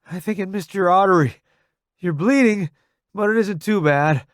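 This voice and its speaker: A man's voice: high-pitched, animated, normal speed, clean tone, dry recording, clean studio sound, voice pushed up. A man voicing a frightened, inexperienced young soldier in a dramatised audiobook, with a raised, strained delivery. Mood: steadier, wry. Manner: raised, strained shouting over noise. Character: frightened rookie male soldier, protesting and inexperienced. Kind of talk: dramatised audiobook character dialogue.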